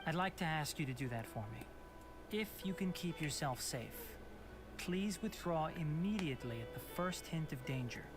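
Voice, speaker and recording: A man's voice: fairly high pitch, lively intonation, moderate pace, music in the background, lightly acted. An actor playing an earnest, worried young male scholar in a game, speaking politely and measuredly. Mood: concerned.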